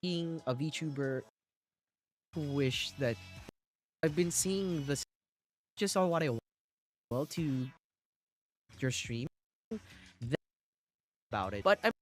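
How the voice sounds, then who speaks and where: A man live streaming, chatting casually to his viewers in an excitable, rambling way with lots of restarts. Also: fairly high pitch, takes it slowly, pauses often. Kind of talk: casual chat to a live audience.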